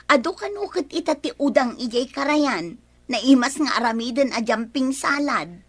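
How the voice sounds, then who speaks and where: A woman's voice: sits high, animated, unhurried, no echo. Gossipy Ilocano neighbor woman, dry recording. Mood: lively. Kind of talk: Ilocano comedy radio drama acting.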